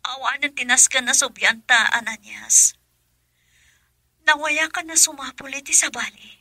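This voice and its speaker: A woman acting a troubled, secretive young woman in a radio drama, breathy and hesitant. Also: sits high, unhurried, pushed and bright, no room sound, full character voice, rough recording. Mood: evasive, cold.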